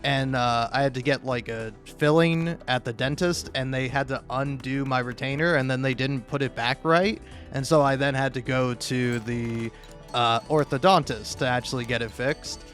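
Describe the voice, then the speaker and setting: A young man telling casual, self-deprecating stories in an animated, rambling monologue, recorded on a modest home mic. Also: medium pitch, normal speed. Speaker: video creator. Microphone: cheap mic, home recording. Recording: amateur mic with occasional plosive pops. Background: music.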